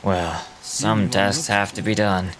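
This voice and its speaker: A man acts out a solemn old guide of lost souls from a fantasy game. The voice sits low and speaks with grave, reflective weariness. A clear crowd-like murmur runs behind it. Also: fairly even intonation, slow pace. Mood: grave, resolute. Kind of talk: dramatic read-aloud of game dialogue.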